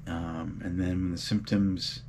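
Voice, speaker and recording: A man hosting his running vlog, a bit congested from a cold with a mild cough, giving earnest advice with frequent um and uh pauses. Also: deep voice, level tone, a little mellow, a little hiss or hum. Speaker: running channel host. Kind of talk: casual advice vlog talk.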